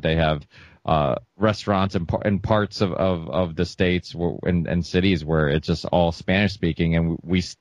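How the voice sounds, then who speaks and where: A man's voice: low-pitched, darkish tone, no echo, dull audio. A man whose first language is Portuguese, a podcast guest chatting casually and rambling with many restarts. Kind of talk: casual chat.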